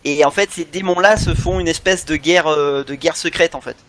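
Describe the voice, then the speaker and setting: A man's voice: on the high side, moderate pace, fairly bright tone. A man talks in a casual podcast discussion. He explains at length and often circles back over his own phrases. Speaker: podcast panelist.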